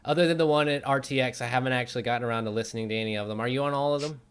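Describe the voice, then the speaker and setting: Male interviewer, average pitch, relaxed conversational delivery for an informal talk show. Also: rapid-fire, clean tone. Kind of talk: casual chat.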